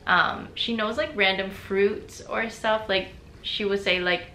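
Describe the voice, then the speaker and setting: A woman's voice: medium pitch, fairly even intonation, a little slow, bright, forceful tone, slight background noise. A young adult woman chatting casually in a personal vlog, with frequent "like" fillers and easy laughter. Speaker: vlogger. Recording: roomy echo with faint music.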